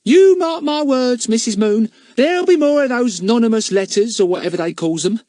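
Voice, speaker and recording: A man's voice: very high-pitched, lively intonation, brisk, darkish tone, dry recording, studio quality, voice pushed up. A man voicing a cheerful, gossiping older charwoman with folksy, chatty speech. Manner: chatty, folksy, dropped grammar.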